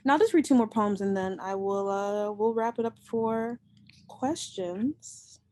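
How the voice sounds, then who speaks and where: A young woman reads her poetry at home over a computer mic, her voice sitting at an ordinary middle pitch. She recites slowly and deliberately and gives each line its weight. Between poems she drops into loose, chatty remarks. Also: dark tone. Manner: slow, measured, deliberate recitation. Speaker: poet and host of the reading. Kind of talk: poetry reading.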